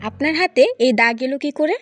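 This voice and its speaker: A woman's voice: high voice, fast, a bit bright, dry recording, soft background music. A woman playing a young wife in a cartoon who questions and firmly accuses suspects. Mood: accusing. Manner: inquisitive, firm, accusing. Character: young wife turned sharp sleuth.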